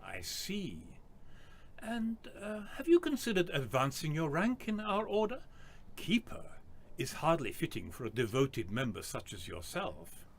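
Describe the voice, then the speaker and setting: A man's voice: fairly high pitch, wildly swooping pitch, creaky, slight background noise, exaggerated voice. Man acting scheming, swooping head of a sacred order. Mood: smoothly commanding. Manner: formal, measured, persuasive. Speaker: actor in student radio drama. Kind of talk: dramatic radio drama acting.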